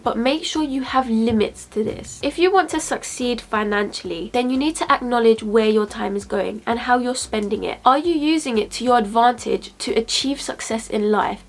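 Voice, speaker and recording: A young woman with a blocked, sniffly nose, giving chatty, upbeat money advice straight to camera. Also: on the high side. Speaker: finance vlogger. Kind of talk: casual talking-to-camera advice.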